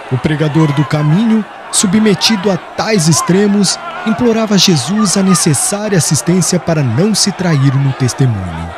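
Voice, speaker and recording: A man's voice: on the high side, noisy background. A Brazilian man narrating an audiobook in a solemn, theatrical, formal literary style. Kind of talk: dramatic scripted literary narration. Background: background music.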